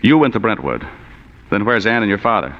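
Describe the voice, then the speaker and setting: An actor playing an unflappable, sardonic, slangy hard-boiled private detective in 1940s radio acting style. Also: male voice, fairly low pitch, sing-song, a little fast. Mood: dry, probing. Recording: dull vintage radio recording, long hall reverb.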